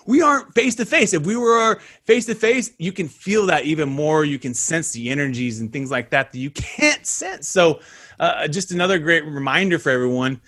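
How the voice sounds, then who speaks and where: A man hosting a casual podcast interview over a video call, energetic and slangy, punching words with sudden loud bursts. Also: fairly high pitch, normal speed. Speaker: podcast host.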